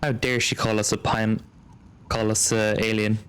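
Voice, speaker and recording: A man's voice: lowish voice, little pitch movement, normal speed, a bit bright, smooth. A man streaming a game, reacting casually with wry, muttered asides and reading dialogue aloud.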